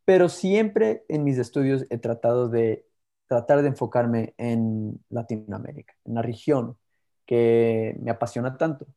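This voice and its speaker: A young man co-hosting a podcast, from Ecuador by birth and raised in California. His voice is mellow and relaxed. He talks in an earnest, steady way, sometimes restarting a word. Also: mid-range voice, a little slow, vocal fry. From born in Ecuador, raised in California. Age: 20s. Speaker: podcast co-host. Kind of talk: scripted podcast intro and casual chat.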